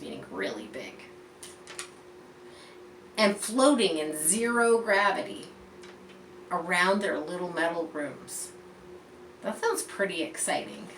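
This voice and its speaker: A woman reading a picture book aloud to children in an expressive, teacherly way, pausing to explain and point things out. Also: medium pitch. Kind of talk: read-aloud storytelling with explanatory asides. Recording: roomy echo, distant mic, faint background noise.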